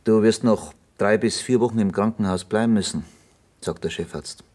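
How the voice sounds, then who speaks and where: A probing detective inspector, played by a man for a radio drama. The voice is fairly low and husky. He questions in short, measured sentences and does not let go. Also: a little mellow, close to an everyday voice. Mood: insistent, matter-of-fact. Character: probing, persistent male police detective inspector. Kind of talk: German radio drama acting.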